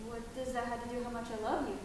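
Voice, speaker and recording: A woman's voice: on the high side, little pitch movement, quick pace, lightly acted. A woman playing a loving but suspicious mother who keeps asking anxious questions of her grown daughter. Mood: concerned. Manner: anxious, questioning, rising to alarm. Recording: echoey room, distant mic, noisy ambience.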